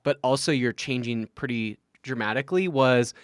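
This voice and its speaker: A male co-host talks with eager, emphatic enthusiasm. His voice sits at a middle pitch. The recording is dry and close. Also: normal speed, clean tone, dry recording. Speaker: podcast co-host. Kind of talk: casual podcast chat.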